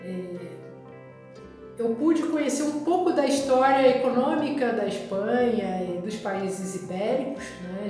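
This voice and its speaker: A woman with a Rio de Janeiro Brazilian accent, telling personal travel memories casually and reflectively, often pausing mid-sentence. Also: a little high, darkish tone. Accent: Rio de Janeiro Brazilian Portuguese. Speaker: vlogger recounting travel memories. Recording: very echoey, reverberant room with music.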